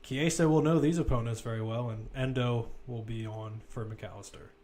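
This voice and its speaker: A man's voice: mid-range voice, normal speed, darkish tone. A man giving casual, conversational live play-by-play commentary on a football match, with wry asides.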